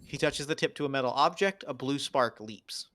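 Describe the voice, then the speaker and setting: A man's voice: mid-range voice, normal speed, sharp tone. A man narrating, reading out screenplay stage directions in short, scripted phrases.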